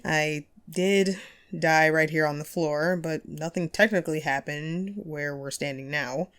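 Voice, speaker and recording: Woman playing a friendly ghost radio host, lowish voice. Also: unhurried, fairly bright tone. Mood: chatty, wry. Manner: playful, conversational, self-deprecating, breaks into chuckles. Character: friendly, wistful female radio-host ghost, chatty paranormal enthusiast. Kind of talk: intimate scripted audio roleplay.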